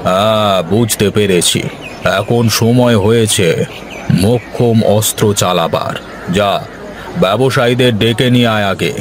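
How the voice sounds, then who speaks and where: A man's voice: fairly low pitch, unhurried, slight background noise, lightly acted. A man voicing a crafty, self-assured landlord in a cartoon story, talking down to his servant in a scheming way. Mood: commanding. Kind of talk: Bengali cartoon moral-story dialogue.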